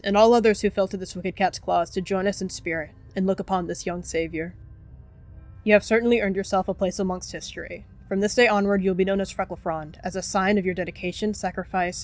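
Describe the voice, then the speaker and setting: A woman's voice: medium pitch, lightly acted. A woman voicing a solemn, commanding male cat who leads a clan, speaking in a declamatory, ceremonial way.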